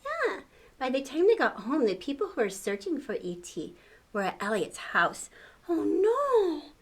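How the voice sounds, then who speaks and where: A woman's voice: high-pitched, wildly swooping pitch, a little slow. An older woman reading a storybook aloud to children, expressively, with exclamations and questions to the listener.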